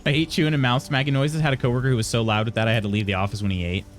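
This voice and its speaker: A young man chats casually on a live stream, answering his viewers one message at a time. He often trips over his words and restarts his sentences. His pitch sits right in the ordinary middle for a man, and soft music plays faintly behind him. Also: steady tone. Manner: chatty, halting, many restarts. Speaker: streamer reading chat.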